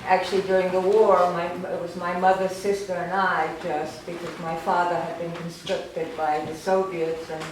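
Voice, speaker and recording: An older woman, a German native speaker from Romania, giving an informal talk about her life, halting and rambling with lots of fillers and wry self-deprecating humor. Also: lowish voice, level tone. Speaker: artist giving a gallery talk. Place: art gallery room. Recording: echoey gallery, distant, noisy ambience.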